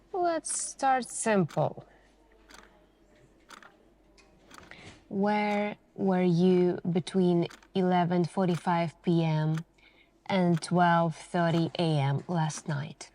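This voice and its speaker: A woman playing a suspicious police detective in an ASMR interrogation roleplay, speaking in a deliberate, insinuating way with pointed pauses. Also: fairly low pitch, dark tone, slight background noise. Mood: probing, skeptical.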